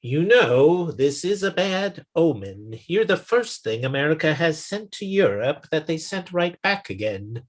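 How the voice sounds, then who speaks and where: A man playing a dry, exasperated middle-aged boss in a 1950s-style radio comedy, with sarcastic, drawn-out lines. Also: fairly high pitch, big pitch swings.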